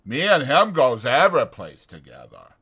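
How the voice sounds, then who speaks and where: A man voicing a big, slow-witted, trusting and anxious ranch hand in a dramatized audiobook, talking loudly, simply and with stubborn earnestness. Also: mid-range voice, big pitch swings, slightly rough, voice pushed up, heavily acted. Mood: earnest.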